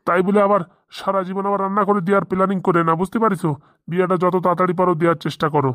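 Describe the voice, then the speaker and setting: Man acting as cartoon village boss, eager, bossy, rapid rambling speech, dry sound. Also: high voice, little pitch movement, fairly bright tone, no room sound. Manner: colloquial, rambling, folksy. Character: eager marriage-hungry village gentleman, bossy boss to two helpers. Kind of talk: comic Bengali cartoon dialogue.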